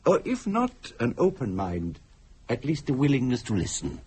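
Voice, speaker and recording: A grave Indian psychic in a radio thriller, speaking with an Indian accent and formal courtesy. His pitch moves dramatically as he weighs each phrase. There is faint noise behind the voice. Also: huge pitch swings, darkish tone. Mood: patient, measured. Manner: formal, deliberate, theatrical.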